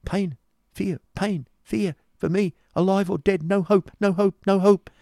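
High-voiced, stammering, frightened youth. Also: male voice, steady tone, slow, creaky, warm tone, dry recording, clean studio sound, full character voice. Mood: terrified, hopeless. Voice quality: high, strained voice. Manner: stammering, pleading, anguished. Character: timid, broken-spirited simple youth, a frightened abused drudge.

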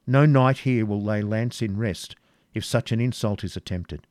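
A man's voice: lowish voice, moderate pace, a little mellow, no echo, lightly acted. A man voicing a chivalrous, outspoken knight in a storybook reading, with a courtly, declarative manner. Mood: indignant.

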